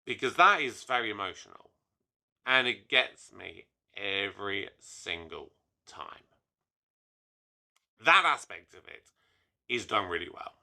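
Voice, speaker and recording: A young man chatting to camera in a casual solo review, slightly hoarse from a cold, animated and full of asides. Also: lowish voice, measured pace, pauses often. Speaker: YouTube reviewer.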